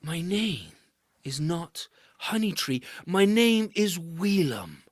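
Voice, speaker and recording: A storyteller doing a slow, solemn magic honey tree. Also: mid-range voice, big pitch swings, husky, dry recording, clean studio sound, voice pushed up, exaggerated voice. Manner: slow, deliberate correction.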